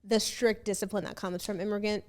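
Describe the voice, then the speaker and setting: A young woman born in Ethiopia and raised in Northern Virginia, hosting a casual podcast chat, chatty and quick to laugh. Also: mid-range voice, level tone. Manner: chatty, laughs easily, talks about being first generation. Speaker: podcast host.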